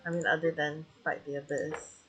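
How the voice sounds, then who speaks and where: A woman's voice: low-pitched, flat delivery, moderate pace, over music, low-quality recording. A woman from Malaysia chatting casually with viewers as a live streamer, in an informal, giggly, self-deprecating way. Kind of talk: casual livestream chat with viewers.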